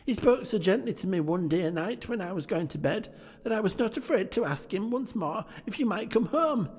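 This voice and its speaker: An excited little girl, put on by a male reader, chirps high and swoops with glee in a story reading. Her squeaky lines ring out with a long echo from a large hall. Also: slightly rough. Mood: gleeful. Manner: breathless, rushing with glee. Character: gleeful little girl, excited young sister. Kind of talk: live reading of a classic tale.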